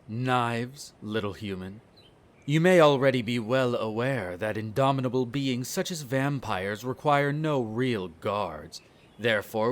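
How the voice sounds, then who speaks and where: A man's voice: lowish voice, slight background noise, lightly acted. A man playing a smug, cruel vampire noble in an audio roleplay, speaking in a haughty, condescending, formal drawl. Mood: condescending, amused. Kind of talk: scripted audio roleplay acting.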